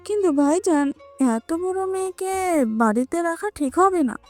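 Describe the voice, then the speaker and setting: A woman's voice: very high-pitched, lively intonation, measured pace, no room sound, soft background music, pitched above the performer's own voice. A woman voicing an effusive, meddling middle-aged aunt in a family drama story. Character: middle-aged aunt, gushing, meddling mother-in-law type.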